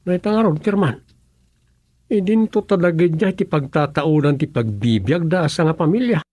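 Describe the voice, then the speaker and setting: A practical village councilor in an Ilocano radio drama. His voice is somewhat high for a man, with a Filipino Ilocano accent and a concern for order. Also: animated, moderate pace, darkish tone.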